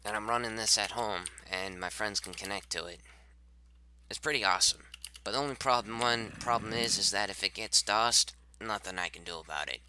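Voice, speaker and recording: A man in his twenties chats loosely at his computer, circling back over the same points and sometimes dropping to a half-whisper. His voice sits low but sounds sharp and tense, with a little rasp. A faint hiss of noise and keyboard clicking sit behind him. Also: a little fast. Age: 20s. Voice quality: slightly raspy. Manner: rambling, repeats himself, trails off into half-whispers. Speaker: Minecraft server owner streaming gameplay. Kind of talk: casual live-stream commentary.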